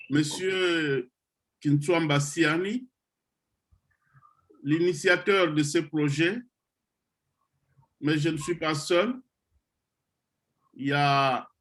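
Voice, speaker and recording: A middle-aged man from the Congo, slowly and deliberately addressing trainees of his online computer course. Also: on the high side, a bit bright. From Democratic Republic of the Congo. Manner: slow, deliberate, with pauses and hesitations. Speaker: project founder leading an online computer course. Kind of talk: motivational talk to trainees on a video call.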